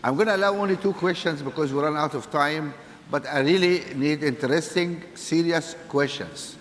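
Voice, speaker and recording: A man's voice: a little high, moderate pace. A man moderating a live event in an informal style, managing questions from the floor. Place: large hall with audience. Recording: echoey hall with background noise.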